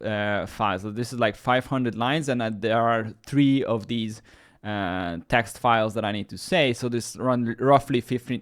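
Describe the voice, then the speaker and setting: A man whose first language is Hungarian, hosting a casual screen-share tech tutorial, talking loosely with many fillers and restarts. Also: medium pitch. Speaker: YouTube tech host.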